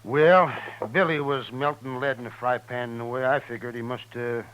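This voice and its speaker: Male actor as rustic American buffalo hunter's hand, mid-pitched voice, plain-spoken, resentful, vintage radio drama. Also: steady tone, normal speed, fairly bright tone, clear, resonant tone, natural voice, rough recording. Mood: explaining. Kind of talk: 1950s radio drama acting.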